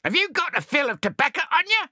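Shrill, loud, grumpy bird tramp for a storybook. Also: male voice, very animated, quick pace, dry recording, studio quality, heavily acted. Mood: cranky, scornful. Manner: shouting, scornful. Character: bad-tempered male parrot swagman.

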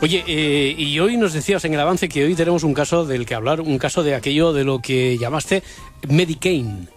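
Spanish guy hosting morning radio, casual, highish voice, music behind. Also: normal speed. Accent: Spain. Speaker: radio show host.

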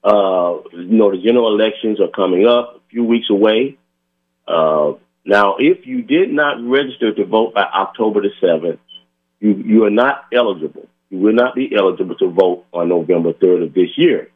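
A hoarse man hosting a community radio talk show, earnestly urging listeners with many fillers. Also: on the low side, normal speed. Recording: tinny phone-like radio audio.

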